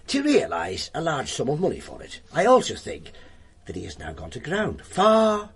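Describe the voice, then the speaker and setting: An actor playing a gruff, wry police inspector in a radio drama, speaking in a deliberate, sardonic, commanding way. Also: male voice, fairly low pitch, full character voice.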